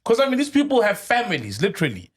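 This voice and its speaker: A South African man hosts a casual podcast chat, his voice sitting a little high. He converses easily and asks follow-up questions of his guest. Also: huge pitch swings, racing, breathy edge. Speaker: podcast host.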